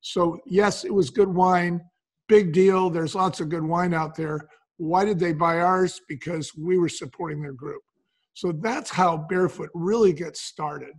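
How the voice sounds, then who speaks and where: A man's voice: high voice, steady tone, a little slow. A man telling anecdotes conversationally as an interview guest, acting out the dialogue as he goes. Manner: acts out quoted dialogue. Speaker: interview guest, wine company co-founder.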